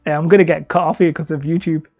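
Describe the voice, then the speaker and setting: A man rambles casually through a livestream, joking at his own expense between thoughts as he works. His voice is soft and mellow with a husky edge. Faint music plays behind him. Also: fairly even intonation, fast talker, lo-fi sound. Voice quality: calls his own voice high and whiny. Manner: rambling, self-deprecating, reads chat aloud. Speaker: hobby terrain-making streamer. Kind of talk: casual livestream chat while crafting.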